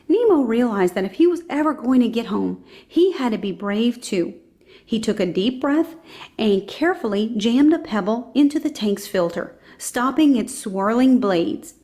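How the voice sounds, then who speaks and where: A woman's voice: fairly high pitch, expressive, normal speed, dark tone. A woman reading a children's storybook aloud as scripted narration.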